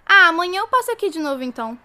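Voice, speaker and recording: Eager, high bright little Brazilian schoolgirl. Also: big pitch swings, rapid-fire, full character voice. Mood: hopeful. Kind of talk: radio drama acting.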